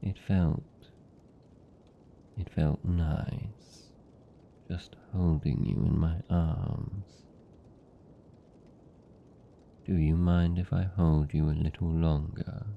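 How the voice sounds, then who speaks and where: A man voicing a lonely, tender and possessive vampire count in a British accent, speaking softly and soothingly in an intimate ASMR roleplay. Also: extremely low pitch, fairly even intonation, creaky, muffled recording, a little hiss or hum, heavily acted. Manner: soft, slow, soothing, lingering pauses.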